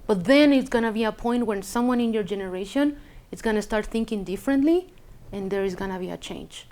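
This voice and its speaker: A woman's voice: mid-range voice, brisk, darkish tone. A woman from Chile, a native Spanish speaker with a Chilean Spanish accent, talking casually and reflectively about her own life, sometimes hesitating to search for English words. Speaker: interviewee telling a personal story. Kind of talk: casual reflective personal talk.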